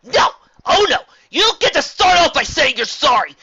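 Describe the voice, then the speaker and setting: A man voicing a brash, hot-tempered young female pegasus who yells and snaps sarcastically, on a dull lo-fi recording. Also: animated, a little fast, exaggerated voice. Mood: furious. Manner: shrill, yelling, sarcastic.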